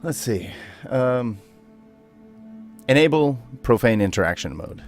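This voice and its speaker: A man's voice: mid-range voice, animated, slow pace, a little mellow, faint music behind. A man hosting a gaming video, narrating casually and speaking voice commands to the game. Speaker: video host and gamer.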